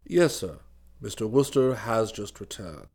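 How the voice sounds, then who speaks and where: A deep, quiet, deferential valet who knows everything and never loses his composure. He speaks formally, lower and softer than the narrator, who acts him out. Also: expressive, unhurried. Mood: composed. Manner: formal, unflappable, says sir. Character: deferential, all-knowing male valet.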